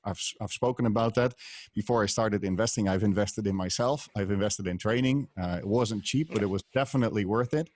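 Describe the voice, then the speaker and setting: A middle-aged man hosting a podcast over a video call, asking measured questions with hesitations. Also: on the low side, a little mellow, no room sound. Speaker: podcast host. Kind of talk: casual interview. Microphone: headset, mic on stand.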